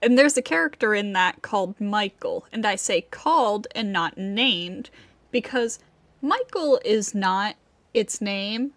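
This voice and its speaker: A woman streaming a game with casual, playful, giggly commentary that gets halting when she explains. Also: mid-range voice, expressive, fairly bright tone, dry recording.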